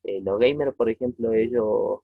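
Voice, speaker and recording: Low, gravelly male teacher, tinny audio. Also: no room sound. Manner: hesitant, halting, checks in with short tag questions. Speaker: technology teacher. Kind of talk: informal recorded lesson, explaining step by step. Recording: tinny phone-like narrow-band audio.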